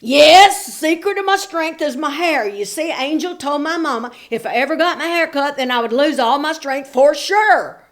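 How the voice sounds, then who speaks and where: A woman voicing a dim, strong young man, loud and blustering. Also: mid-range voice, normal speed, hardly any pauses, close to an everyday voice. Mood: exasperated.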